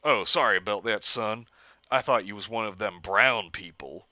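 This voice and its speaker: A man's voice: fairly high pitch, big pitch swings, moderate pace, dry recording, voice pushed up. A man voicing a bigoted, trigger-happy male police officer in a drawling hick voice, on a dull lo-fi recording. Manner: drawling, loud and blustering.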